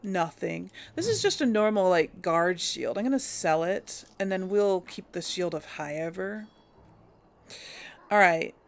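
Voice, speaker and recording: A woman with a creaky voice giving casual, easygoing game commentary, musing to herself as she plays. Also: fairly low pitch, expressive, measured pace. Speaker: let's play host. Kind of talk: casual game commentary with light role play. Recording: faint background game ambience.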